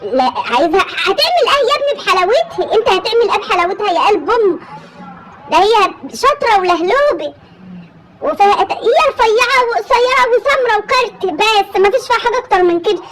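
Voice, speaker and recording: Woman playing a comedic Egyptian mother, very high voice, insistent and coaxing, keeps saying "my son", noisy recording. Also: very animated, fast. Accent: Egyptian Arabic. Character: pushy rural mother matchmaking for her grown son. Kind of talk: comedic one-sided phone monologue.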